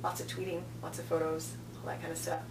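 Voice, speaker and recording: A woman's voice: low-pitched, flat delivery, quick pace. Woman chatting in an interview, stresses key words, echoey room, mic kinda far off. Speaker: interview guest, film festival programming director. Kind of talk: casual promotional interview talk. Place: indoor room, on-location video interview. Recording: roomy, distant mic, clear background noise.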